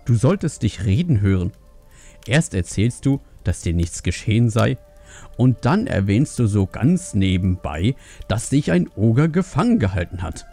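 Fantasy audiobook role: strict panda dad, male actor, angry and reproachful, very lively pitch, dark tone. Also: moderate pace, vocal fry, soft background music, full character voice. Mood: angry, accusing. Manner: stern, reproachful. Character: strict, angry panda father scolding his family. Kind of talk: acted fantasy audiobook dialogue.

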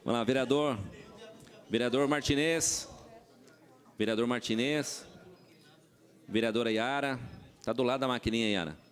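A man with a São Paulo accent chairing a council session, calling roll and announcing votes in a brisk, businesslike way. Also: mid-range voice. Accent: Brazilian Portuguese, São Paulo state. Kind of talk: procedural chairing. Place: council chamber.

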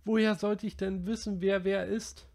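Man reading aloud as anxious chubby schoolboy, high and whiny, audiobook. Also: level tone, normal speed, gravelly, a little mellow. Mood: indignant. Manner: high, whiny and breathless pleading.

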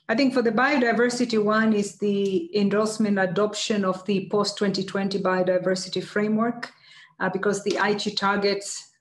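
A middle-aged woman answering seminar questions as an official, in a measured, hesitant way on a video call. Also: medium pitch. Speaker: UN environment official, guest speaker. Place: indoors before banners, online video call. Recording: echoey room on video call.

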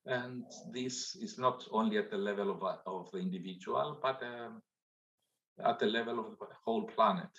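An older man speaking as a guest on a conference panel over a video call, slow and halting, with many pauses and restarts. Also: on the low side, fairly bright tone. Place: home room, indoors. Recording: dull lo-fi video call audio.

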